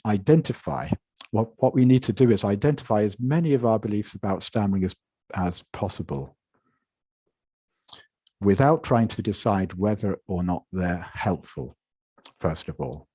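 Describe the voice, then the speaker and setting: A man with a very low, husky voice delivers a measured conference lecture from his slides. He often repeats and restarts words as he talks. The sound comes dry and close over a video call. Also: dark tone, no echo. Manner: measured, with frequent repetitions and restarts. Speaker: conference presenter.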